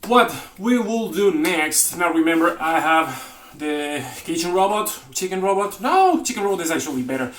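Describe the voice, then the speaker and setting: A man's voice: fairly high pitch, expressive, normal speed, few pauses. Man, casual joking cooking host, rambly and self-correcting, echoey home kitchen. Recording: roomy kitchen with faint noise.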